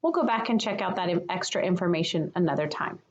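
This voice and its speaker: A woman, a kindergarten teacher, reading a children's picture book aloud in an expressive manner for young listeners. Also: fairly low pitch, fast talker, muffled recording.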